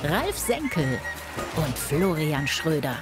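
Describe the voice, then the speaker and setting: A woman announcing TV trailers in a lively promo style. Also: low-pitched, takes it slowly. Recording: announcer over loud music.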